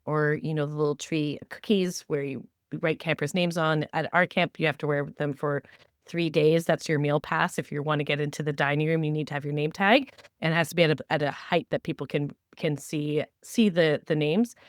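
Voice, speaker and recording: A woman's voice: sits low, fairly even intonation, fairly quick, no echo, studio quality. A woman co-hosting a podcast, giving conversational advice with emphatic, repeated phrasing. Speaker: podcast co-host. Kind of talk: conversational advice on camp staff training.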